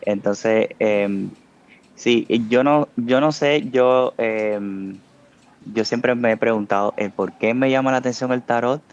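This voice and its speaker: A man's voice: medium pitch, takes it slowly, clear, resonant tone, muffled recording. A man from Cuba with a Cuban Spanish accent, a guest talking casually in an interview and hesitating with many fillers. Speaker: tarot artist guest.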